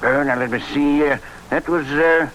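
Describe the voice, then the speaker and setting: Fussy, high-pitched country gentleman played by an actor. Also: steady tone, normal speed, dull audio, lightly acted. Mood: affable, flustered. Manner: hesitant, polite, fussy. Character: fussy, affable English country gentleman host. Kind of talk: old-time radio drama acting. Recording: vintage radio recording, faint noise.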